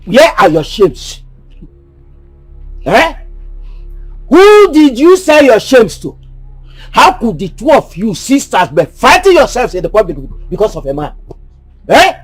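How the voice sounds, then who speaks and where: A stern, disappointed father in a Nigerian film drama. His voice is husky and lively, rising in emphatic scolding, with a Nigerian accent and faint music behind. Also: very high voice, measured pace, pauses often, dry recording, full character voice. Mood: angry, scolding. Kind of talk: Nollywood film acting.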